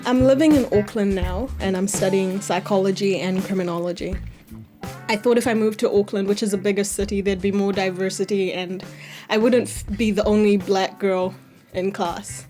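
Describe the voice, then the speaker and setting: Young woman, from Zambia, candid conversational voice-over, mid-pitched, music underneath. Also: fairly even intonation, moderate pace, warm tone. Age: young adult. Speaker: university student. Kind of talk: documentary voice-over.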